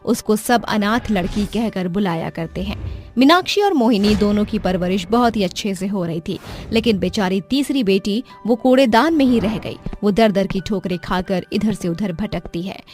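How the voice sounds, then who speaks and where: A woman narrates a scripted story in a dramatic storytelling style. Her pitch is middling. Music plays behind her voice. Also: a little mellow.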